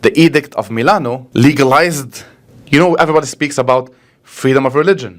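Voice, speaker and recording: A man with a Lebanese accent talks very quickly in the manner of a priest being interviewed. He explains things earnestly and with emphasis, often checking that the listener follows. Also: mid-range voice. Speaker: Orthodox priest.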